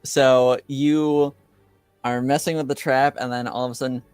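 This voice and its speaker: A man running a tabletop roleplaying game as game master, narrating off the cuff in a hesitant, halting way. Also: mid-range voice, level tone, darkish tone, clean tone, dry recording, soft background music.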